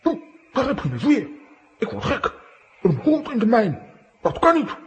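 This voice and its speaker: An actor playing an excitable, blustering comic hero in a children's audio play, with theatrical exclamations. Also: male voice, very high voice, a little slow, full character voice. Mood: panicked.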